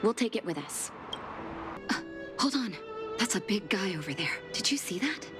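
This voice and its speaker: A woman voicing a caring, grieving young doctor in a video game cutscene, speaking in a measured, soft and reflective way. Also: mid-range voice, big pitch swings, music in the background, natural voice. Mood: focused, curious. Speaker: game character.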